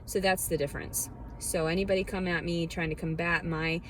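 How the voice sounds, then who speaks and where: A woman with a lowish voice vents to her viewers in a heated, defensive rant. Faint vehicle noise hums in the background. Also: little pitch movement, fairly quick. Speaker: vlogger.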